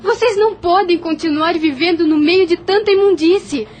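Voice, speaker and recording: A woman's voice: very high-pitched, normal speed, darkish tone, faint music behind. A woman acting a young, compassionate and determined plantation mistress in an audio drama, earnest and commanding. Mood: resolute.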